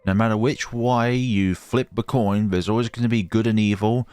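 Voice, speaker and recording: A man's voice: lowish voice, steady tone, normal speed, a little mellow, smooth, no echo, soft background music. A man giving casual commentary as he plays a game. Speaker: gameplay streamer reading along.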